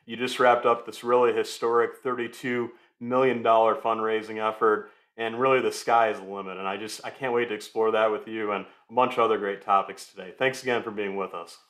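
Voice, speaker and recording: A man's voice: on the low side, steady tone, brisk, few pauses, a bit bright. Podcast host man in an echoey room. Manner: friendly, loose, with fillers like "you know". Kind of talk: interview hosting. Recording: roomy echo.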